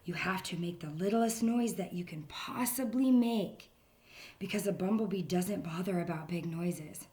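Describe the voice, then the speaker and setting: A woman's voice: lowish voice, normal speed, a little mellow, natural voice. A woman voicing a wise old male groundhog in a storybook, speaking in a confiding half-whisper. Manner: confiding, whispers his advice.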